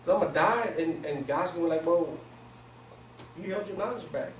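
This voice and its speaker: Echoey, higher-voiced man giving an informal talk. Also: normal speed. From Pakistan, grew up in Virginia, US. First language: not English. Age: 40s. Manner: colloquial, streetwise phrasing. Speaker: seminar speaker. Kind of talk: informal motivational talk to a small group. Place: small indoor conference room. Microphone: far camera microphone. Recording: echoey room, distant camera mic, noisy.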